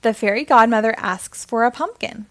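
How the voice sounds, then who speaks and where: A woman reading a story aloud to young children in an expressive, deliberate way with simple phrasing. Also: fairly high pitch.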